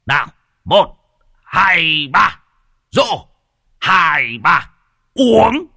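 A man voicing a rowdy old village man who leads drinking toasts, loud and bossy, with curses. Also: high-pitched, big pitch swings, drawn-out words, vocal fry, breathy edge, no room sound, studio quality, pitched above the performer's own voice, full character voice. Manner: loud, bossy, cursing, shouting chants.

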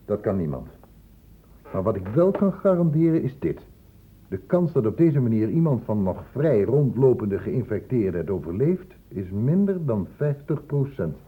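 An actor in a Dutch radio play voicing an earnest, insistent doctor who questions in a measured, formal way. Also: male voice, medium pitch, very animated, husky. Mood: urgent, insistent. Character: earnest, insistent male doctor investigating an infection outbreak. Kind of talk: Dutch radio drama acting. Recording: muffled phone-like old radio recording.

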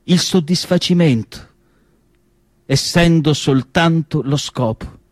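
A man performing a theatrical literary monologue, declamatory, with deliberate phrasing and pauses. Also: fairly high pitch, no echo. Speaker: stage actor reading literary prose. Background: music.

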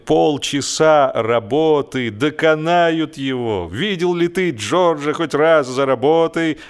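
A man voicing a scoffing, self-satisfied young man with drawn-out, mocking emphasis in a comic audiobook. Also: on the high side, clear, resonant tone, voice pushed up. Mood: amused mockery.

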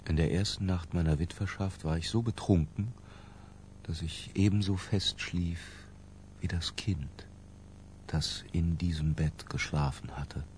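Very deep, measured male memoirist. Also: a little mellow, slight background noise. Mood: detached, sombre. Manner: measured, ornate, detached. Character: brooding, cultured middle-aged male memoirist narrator. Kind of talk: literary first-person radio drama narration.

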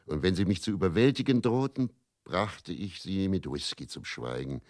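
An actor with pronunciation playing a weary, self-doubting male faith healer who confesses deliberately and broodingly in a radio play monologue. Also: low voice, sing-song, a little mellow, no echo, studio quality. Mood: bitter, tormented.

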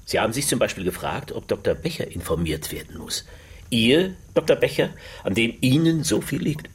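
A man's voice: mid-range voice, big pitch swings, normal speed, breathy edge. A man playing a persistent police detective in a German radio crime drama, reasoning and questioning insistently. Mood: probing.

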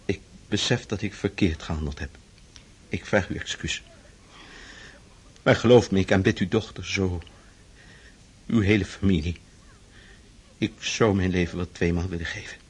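A man's voice: deep voice, normal speed, pauses often, breathy edge. A man playing an earnest, lovelorn captain in a radio drama, speaking with humble, courtly feeling. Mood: humbly lovelorn.

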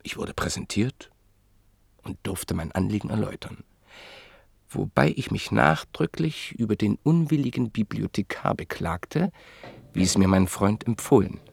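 A man narrating a radio play in a measured, literary storytelling manner. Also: fairly low pitch, lively intonation, gravelly, breathy edge, dry recording.

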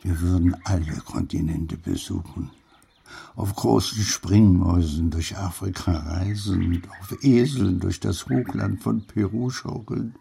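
An actor playing a gruff old grandfather who tells fanciful tales in an audiobook, with a gravelly voice. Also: deep voice, steady tone, unhurried, warm tone, a little hiss or hum, full character voice. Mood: wistful. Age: older. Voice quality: gravelly, husky, creaky. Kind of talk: acted audiobook dialogue.

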